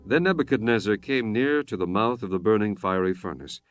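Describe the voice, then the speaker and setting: A man narrating scripture from a script in a measured, solemn, formal manner. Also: on the low side, lively intonation. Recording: music bed behind the voice.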